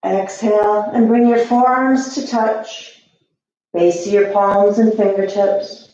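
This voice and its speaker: A woman teaching yoga, guiding breath and posture gently and soothingly with long pauses. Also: medium pitch, fairly even intonation. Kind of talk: guided yoga and meditation instruction. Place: echoey indoor room. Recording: echoey room, slightly dull sound.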